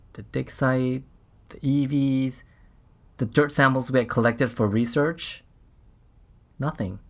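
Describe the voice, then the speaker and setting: Guy acting a shaken space mission scientist in a podcast drama, earnest, kinda halting, mid-pitched voice, thoughtful. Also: close to an everyday voice. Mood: grave, measured. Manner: halting, reflective, measured. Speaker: actor playing an interviewed Mars crew scientist. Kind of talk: dramatised documentary interview.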